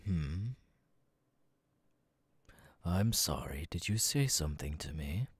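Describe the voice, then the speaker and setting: A man voicing a menacing, haughty, sadistic demon king in an ASMR audio roleplay, soft-spoken and condescending. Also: low-pitched, lively intonation, takes it slowly, slightly rough, dry recording, exaggerated voice. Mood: cold, condescending. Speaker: voice actor.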